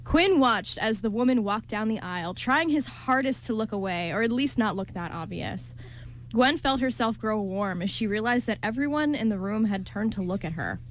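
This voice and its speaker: A woman's voice: on the high side, lively intonation, normal speed, a little hiss or hum. A woman narrating a scripted story as part of a radio play.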